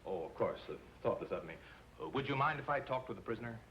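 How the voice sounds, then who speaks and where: A man's voice: medium pitch, lively intonation, fast, a bit bright, muffled recording, lightly acted. An actor playing an oily, falsely sympathetic townsman in an old television western, folksy and insinuating. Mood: falsely apologetic. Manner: folksy, insinuating, mock-apologetic. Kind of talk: 1950s television western acting. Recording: faint noise on old soundtrack.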